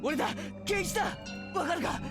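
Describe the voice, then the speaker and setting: Visual novel teenage boy: very high, desperate shouts, music underneath. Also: quick pace. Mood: panicked, desperate. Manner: urgent shouting. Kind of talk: Japanese visual novel voice acting. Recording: music behind the voice.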